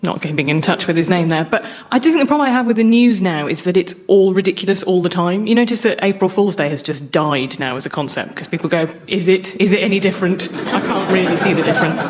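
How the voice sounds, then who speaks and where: A woman's voice: on the low side, lively intonation, fast, hardly any pauses. A woman giving witty, conversational commentary as a comedy panellist.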